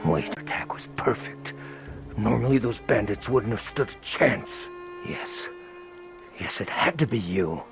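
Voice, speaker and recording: An actor playing a bitter, vengeful wounded guard in a video game, speaking in a strained, accusing way. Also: male voice, medium pitch, huge pitch swings, a little slow, music in the background. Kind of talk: dramatic game cutscene acting.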